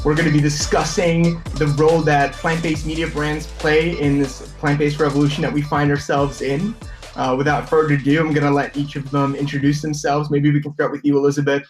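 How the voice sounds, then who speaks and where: A man's voice: on the high side, flat delivery, fairly quick, faint music behind. A man hosting an online summit, opening a panel in an upbeat way. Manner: upbeat, brief prompts. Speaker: summit host.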